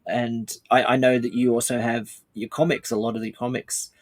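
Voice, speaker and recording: A man hosting a podcast in casual chat, talking in a halting way and repeating words as he goes. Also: medium pitch, little pitch movement. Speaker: podcast host.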